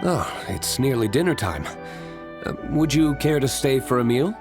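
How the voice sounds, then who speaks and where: An actor playing a terse but courteous young winery master in a video game, composed and matter-of-fact. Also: male voice, mid-range voice, expressive, moderate pace, natural voice. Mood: calm, obliging. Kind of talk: scripted video game voice acting. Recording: background music under dialogue.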